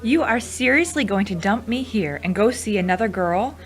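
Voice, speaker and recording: A woman acting as a wry, slighted woman in an audio drama. Her voice is mid-pitched. She speaks pointedly, with hurt under a show of not caring. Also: expressive, normal speed, close to an everyday voice. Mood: wounded, incredulous. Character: wry woman hurt at being dumped, feigning indifference.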